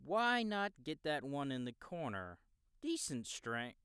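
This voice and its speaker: A man voices a young male gamer guide in an audiobook drama. He is cocky and casual, with slangy, teasing talk. His delivery is slow and clean, recorded dry and close. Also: medium pitch, very animated, pushed and bright, clear, resonant tone, dry recording, exaggerated voice. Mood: casual, helpful. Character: cocky, laid-back young male gamer guiding a newcomer. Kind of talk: audiobook character dialogue in a game-world story.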